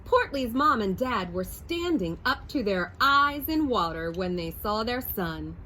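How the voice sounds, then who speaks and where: A woman teacher reads a picture book aloud to young children, taking her time with each line. Her pitch sits a little high and swings widely as she tells the story. A faint hum of room noise stays underneath. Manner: slow, expressive storytelling voice. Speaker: first grade teacher.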